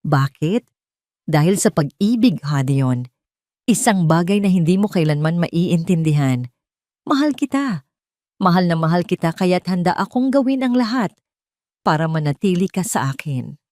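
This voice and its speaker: A woman's voice: lowish voice, big pitch swings, normal speed, warm tone, dry recording, studio quality. A woman with a Filipino accent voicing a scheming, bitter, obsessive woman in love in an audio drama, emphatic and theatrical. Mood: bitter, desperate.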